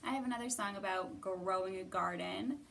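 A woman's voice: mid-range voice, normal speed, vocal fry, distant mic, background noise. A woman in her thirties hosting storytime for children, giving simple instructions addressed to young listeners. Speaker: children's library storytime host. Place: indoor library room.

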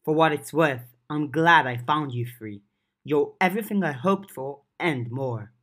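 A narrator voicing a mysterious female spirit who speaks in a formal, measured, wordy way. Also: mid-range voice, a bit bright. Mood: composed.

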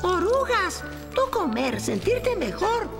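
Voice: extremely high pitch, big pitch swings, slow, gravelly, exaggerated voice. An actor voicing a small, timid young male flying reptile in a cartoon dub, in broken childlike grammar. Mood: encouraging. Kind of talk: animated series dub. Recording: loud music behind the voice.

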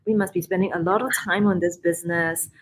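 A chatty Singaporean woman in her forties hosts a live video-call podcast with an upbeat, filler-laden flow. Her voice sits a little low, and a faint hiss hangs behind the webcam sound. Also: fairly even intonation, fast. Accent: Singaporean English. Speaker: podcast host. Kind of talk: casual live-stream chat. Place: room abroad, indoors, video call.

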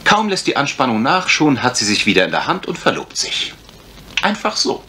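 An actor playing a jovial, teasing English gentleman detective in a German radio crime drama, with theatrical expression. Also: mid-range voice, wildly swooping pitch, moderate pace. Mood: admiring. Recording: noisy retro radio play recording.

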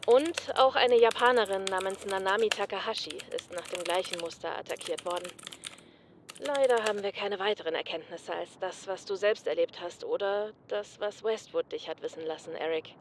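An actress playing a brusque, scolding informant in a comedy audio drama, curt and bossy. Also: female voice, mid-range voice, lively intonation, moderate pace, noisy background, lightly acted. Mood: businesslike. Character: brusque, scolding female informant helping detectives.

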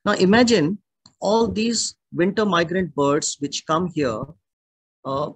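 A middle-aged man with an Indian accent speaks as a naturalist presenting to an online audience. His voice sits slightly high for a man and has a husky grain. He explains in a measured way, and the sound is close and dry, with little room in it. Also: expressive, no echo. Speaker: naturalist and birding guide. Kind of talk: online illustrated talk. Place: indoor room at home or office, on a video call. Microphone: video call microphone.

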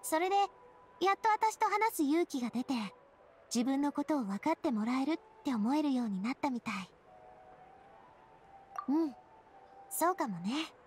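Anime game heroine: elegant young boss, warm-hearted and sincere, high-pitched, animated delivery. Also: female voice, normal speed, pauses often, slight background noise. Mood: gentle, reflective. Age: young adult. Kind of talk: Japanese anime game voice acting.

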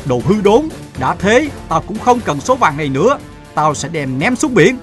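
A man's voice: high voice, sing-song, normal speed, faint music behind. Grave merchant father in a cartoon. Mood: furious. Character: anxious, protective middle-aged merchant father, shrewd and stern. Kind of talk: animated fairy-tale dubbing.

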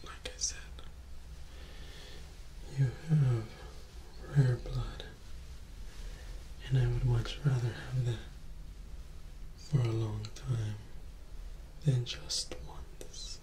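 A young man playing a charismatic, coolly menacing male vampire in a hushed, intimate, coaxing ASMR roleplay. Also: medium pitch, fairly even intonation, very slow, pauses often, roomy sound, background noise. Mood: soothing, menacing. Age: young adult. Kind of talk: ASMR roleplay, whispered and soft-spoken.

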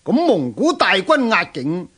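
A man's voice: sits high, wildly swooping pitch, a little slow, a bit bright, slightly rough, heavily acted. An actor playing a loyal, shrewd general who addresses his lord formally and earnestly in a historical radio drama. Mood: confident.

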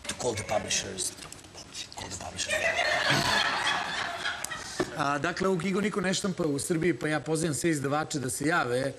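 A man's voice: a little high, lively intonation, heavy background noise. A man with a Serbian accent hosting a talk show in English, not his native language, joking and hesitating with fillers. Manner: jokey, halting with fillers.

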